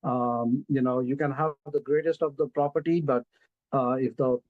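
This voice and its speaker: Indian-accented man, slow deliberate presenter, filler-heavy; dull, dry call audio. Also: mid-range voice, steady tone, no echo. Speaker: presenter of an investment pitch. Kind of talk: casual webinar presentation. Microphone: video call.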